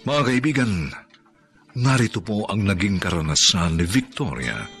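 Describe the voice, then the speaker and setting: A man's voice: lowish voice, big pitch swings, normal speed, fairly bright tone. A man with a Filipino accent giving solemn, dramatic scripted narration as the host of a radio drama. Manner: solemn, proverbial. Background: music.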